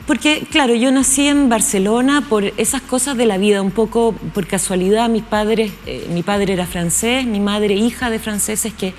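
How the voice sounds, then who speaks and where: A woman in her fifties from Chile, a guest in a studio interview, speaking thoughtfully with many pauses and restarts. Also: mid-range voice, a little hiss or hum. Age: 50s. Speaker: guest, actress. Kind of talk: relaxed interview conversation.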